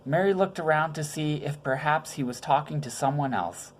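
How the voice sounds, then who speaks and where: A woman's voice: low-pitched, normal speed. A woman reading a children's Bible story aloud, with informal, conversational asides in simple words. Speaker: storybook reader.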